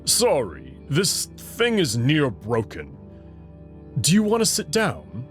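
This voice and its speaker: A man voicing a friendly, clumsy male alien wolf in a visual novel, nervous and halting in his slow speech. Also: mid-range voice, wildly swooping pitch, soft background music. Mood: apologetic, awkward.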